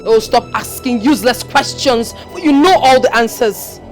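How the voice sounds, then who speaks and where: A woman's voice: a little high, moderate pace, soft background music, close to an everyday voice. Actress playing a vengeful Nigerian female ghost, commanding. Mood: stern, demanding. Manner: stern, commanding, declarative. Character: vengeful ghost of a dead woman, demanding and unyielding. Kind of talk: film drama acting.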